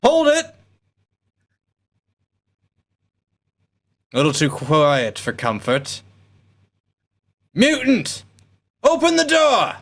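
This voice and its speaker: A man's voice: high voice, wildly swooping pitch, takes it slowly, a bit bright, exaggerated voice. A man playing a scornful, trigger-happy lieutenant, commanding and sneering in a theatrical radio play reading. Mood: tense, shouting. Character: scornful, trigger-happy military lieutenant barking orders at patrol. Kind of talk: radio play cold read.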